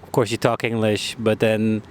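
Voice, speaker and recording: A man's voice: lowish voice, monotone, moderate pace, a little hiss or hum. A man interviewing a guest outdoors in a park, talking loosely with many restarts and "you know"s. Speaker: street interviewer.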